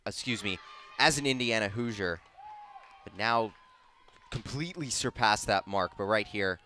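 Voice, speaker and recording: A man's voice: lowish voice, normal speed, sharp tone, some chatter behind, a little hiss or hum. A man giving color commentary, adding background notes in a conversational way.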